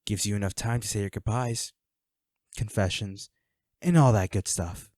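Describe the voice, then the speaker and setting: A man's voice: on the low side, darkish tone, no echo, close to an everyday voice. Man acting as a taunting male villain, close and dry. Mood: mocking. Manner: taunting, mock-sympathetic, unhurried. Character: flirty, menacing male villain taunting captured foes. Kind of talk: scripted audio roleplay acting addressed to the listener.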